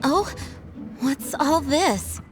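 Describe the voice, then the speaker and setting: A woman voicing an earnest, dutiful young knight commander in an anime-style video game, gentle and formal. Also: high-pitched, animated, extremely slow, crowd noise, heavily acted. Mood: composed. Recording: game music behind.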